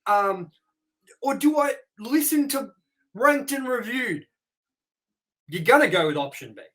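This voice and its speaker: A man's voice: extremely high pitch, lively intonation, a little slow, fairly bright tone. A young man hosting a casual review podcast, joking crudely and swearing often. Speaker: podcast host.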